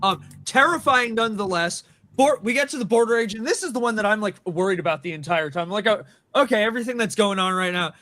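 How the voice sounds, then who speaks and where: High bright-voiced rambling storyteller, man. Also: lively intonation, fast, no echo. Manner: rambling, many fillers and restarts, acts out quoted dialogue. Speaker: band member and show regular. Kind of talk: casual storytelling among friends. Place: small room with several people around mics.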